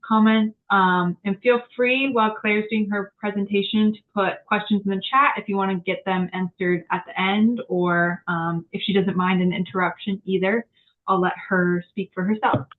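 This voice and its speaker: A woman hosting an online meeting in a relaxed way with filler words. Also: medium pitch. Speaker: meeting host. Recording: tinny call audio.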